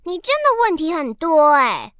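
A woman's voice: high-pitched, sing-song, normal speed, a bit bright. A woman playing a bossy, grumpy little candy-tree fairy in a children's radio drama, huffy, scolding and dramatic. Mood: impatient.

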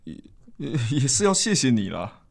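A man's voice: medium pitch, very animated, moderate pace, vocal fry. An actor playing a chatty, good-hearted young man in a radio drama, rambling colloquially with a Taiwanese Mandarin accent. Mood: bashful, excited. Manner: colloquial, rambling, sentence-final particles.

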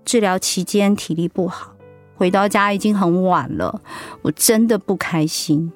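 An actress playing a hurt, outspoken wife recovering from cancer in an emotional, plaintive audio drama performance. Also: female voice, fairly low pitch, measured pace, soft background music, close to an everyday voice. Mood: weary, aggrieved.

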